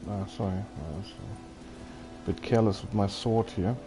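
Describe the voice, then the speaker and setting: A man's voice: fairly low pitch, unhurried, poor audio. A man chatting casually as he livestreams a video game, often hesitant and thinking aloud. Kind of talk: casual rambling game stream commentary, chatting with viewers.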